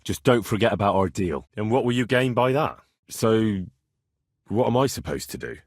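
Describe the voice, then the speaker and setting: Game role: cheeky young swordsman in a medieval town. Husky, very animated, blunt bargainer. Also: fairly low pitch, moderate pace, no echo, clean studio sound. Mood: cocky bargaining. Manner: blunt, haggling, cocky. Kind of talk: video game character dialogue.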